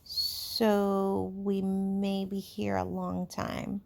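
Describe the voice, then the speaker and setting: A woman's voice: medium pitch, completely flat delivery, extremely slow, vocal fry, darkish tone. A woman voicing an earnest, fair-minded schoolboy leader in a storybook, with emphatic speech-making. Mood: upbeat, reassuring. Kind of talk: storybook character voice.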